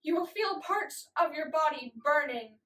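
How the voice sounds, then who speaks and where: A young woman performing slam poetry in a dramatic, deliberate way, with emphatic pauses. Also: high-pitched, animated, a bit bright. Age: young adult. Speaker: slam poet. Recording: voice distant from the mic.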